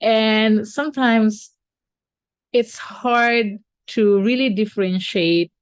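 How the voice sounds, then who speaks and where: A woman's voice: mid-range voice, steady tone, slow pace, clean tone, no room sound. A woman teacher and podcast co-host who is not a native English speaker, chatting casually with many fillers and restarts.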